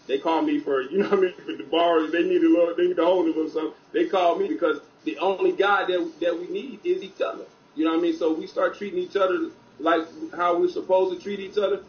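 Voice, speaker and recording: A man speaking as a guest on an online talk show, loud and passionate, with many restarts. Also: high-pitched, steady tone, quick pace, few pauses, dull audio.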